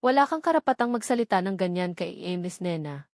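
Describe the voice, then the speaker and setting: Woman narrator as a firm, protective gentleman. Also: fairly low pitch, animated, brisk, no room sound, clean studio sound. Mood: firm anger. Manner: concerned, firm. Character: protective wealthy gentleman, firm romantic male lead. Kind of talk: storybook romance narration.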